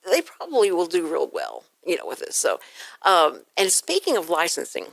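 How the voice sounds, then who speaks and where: A woman with a slightly low voice hosts a casual talk show. She tells stories in an animated, conversational way, and the sound around her is very dry. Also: normal speed, gravelly, no room sound.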